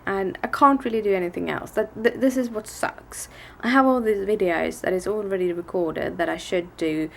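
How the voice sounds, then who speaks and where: A woman with a low, gravelly voice talks to her camera in a living room at home. She sounds stuffed up from a heavy cold, and her talk rambles along in a tired, low-energy way. A faint hiss sits under the recording. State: congested with a bad cold. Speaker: daily vlogger. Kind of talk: casual vlog chat. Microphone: camera on a desk in front of her.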